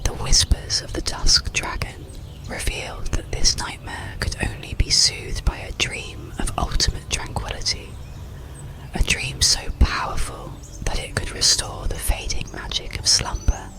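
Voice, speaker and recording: A narrator reading an ASMR bedtime story in a calm, breathy, soothing whisper, unhurried throughout. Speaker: audiobook narrator.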